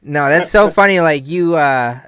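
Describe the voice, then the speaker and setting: A man in his thirties hosting a relaxed interview, laughing often and calling his guest dude. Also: on the high side, measured pace. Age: 30s. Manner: laid-back, laughs often, says dude a lot. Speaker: podcast host. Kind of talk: casual interview chat.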